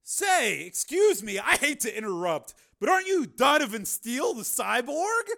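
A brash, overfriendly bar patron, done by a male narrator, who keeps wheedling and won't take no. His voice sits high and bounces all over, with a gravelly rasp. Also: sing-song, moderate pace, sharp tone, exaggerated voice.

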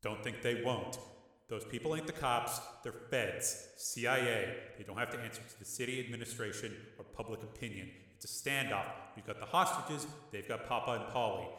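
A man voicing a calm, pragmatic male mobster who talks quietly and reasons things through. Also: lowish voice. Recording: echoey hall.

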